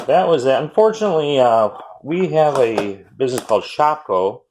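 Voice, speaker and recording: A man, chatting casually and rambling about a game haul with lots of ums and self-corrections; he says his voice runs fairly high. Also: animated, moderate pace, background noise. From Wisconsin, US. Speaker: retro game collector vlogger.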